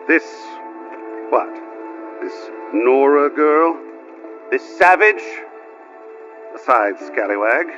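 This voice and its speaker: A man's voice: on the high side, a bit bright. An actor playing a haughty, cowardly male priest-scholar in a video game, with pompous, theatrical and archaic formal speech. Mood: indignant. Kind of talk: video game cutscene acting. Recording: muffled game capture with music.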